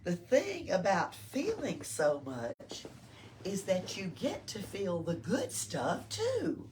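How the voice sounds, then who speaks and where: An older woman reads aloud to small children. Her pitch moves up and down expressively as she explains things simply and checks in with questions. She is picked up from a distance, with faint noise around her. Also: wildly swooping pitch, slow. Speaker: library storytime reader. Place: small-town library. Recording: distant mic with background noise.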